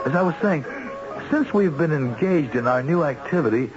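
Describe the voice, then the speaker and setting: A man's voice: fairly high pitch, lively intonation, moderate pace, muffled recording, lightly acted. An actor playing a respectable family man turned self-assured hired killer in an old-time radio drama, speaking emphatically and insistently. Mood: boastful, fervent. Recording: band-limited old radio recording with music.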